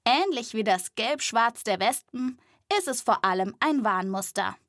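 High-voiced woman hosting for children. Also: expressive, unhurried, fairly bright tone, no echo, studio quality. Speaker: children's podcast host and animal reporter. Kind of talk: lively scripted narration for children.

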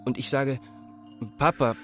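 Actor as an Austrian farmer's son remembering, over music. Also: male voice, mid-range voice, steady tone, lightly acted. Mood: reflective. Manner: plain, measured storytelling. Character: farmer's son recounting a childhood memory. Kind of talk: radio drama narration.

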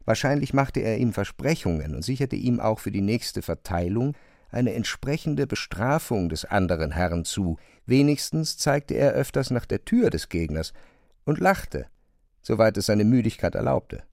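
A man reads literary prose in a measured, articulate way, as a narrator. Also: fairly low pitch, big pitch swings, vocal fry, a little mellow, dry recording, studio quality.

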